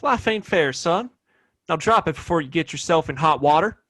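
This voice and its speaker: A smug, domineering American sheriff of the Old West, acted by a man in a radio-style Western play. His voice sits a little high, and the sound is dry and close. He talks in folksy phrases like someone who owns the town. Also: animated, normal speed, fairly bright tone, dry recording. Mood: threatening. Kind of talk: Western audio play acting.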